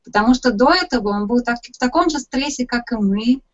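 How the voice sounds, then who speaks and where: A woman hosts a webinar and interprets each passage in turn, keeping a measured, even delivery. Her pitch sits in the middle range. The call audio is slightly dull and muffled. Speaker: host and interpreter. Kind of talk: consecutive interpreting into Russian.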